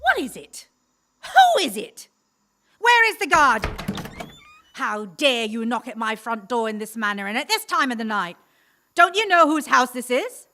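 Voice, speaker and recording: A woman's voice: on the high side, big pitch swings, measured pace, long pauses, background noise. A woman acting a haughty 1940s housewife in a radio drama, firing off demanding questions. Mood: indignant.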